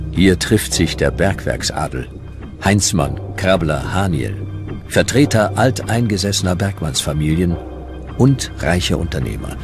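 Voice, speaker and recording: A male narrator tells history from a script. His voice is very deep, with lively rises and falls. The delivery is measured and dramatic, and music plays clearly behind him. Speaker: documentary narrator.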